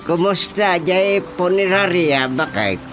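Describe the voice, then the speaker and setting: A man's voice: high-pitched, slow pace. A man playing a jumpy, grumbling father in a radio drama comedy. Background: loud music.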